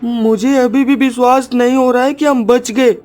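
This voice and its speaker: A man's voice: very high voice, fairly even intonation, moderate pace, a little mellow, soft background music, pitched above the performer's own voice. A man voicing a frightened young boy in a cartoon story, anxious and pleading with devotion. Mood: uncertain relief. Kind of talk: dramatic cartoon story acting.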